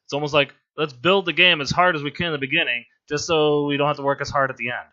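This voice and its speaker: Hoarse male game commentator, casual and amused, bright-edged voice, muffled lo-fi recording. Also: fairly high pitch, steady tone, fast. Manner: rambling, amused and self-deprecating. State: hoarse from laryngitis. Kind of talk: casual gameplay commentary voice-over.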